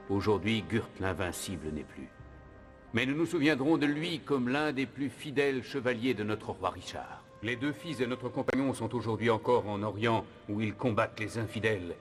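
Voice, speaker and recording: A man's voice: fairly low pitch, natural voice. A man playing a noble, steadfast heroic knight in a French cartoon dub, solemn and earnest. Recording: prominent music behind voice.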